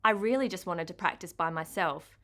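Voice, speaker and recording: A woman with an Australian accent, an author giving an expressive first-person reading of her children's book. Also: on the low side, fairly quick.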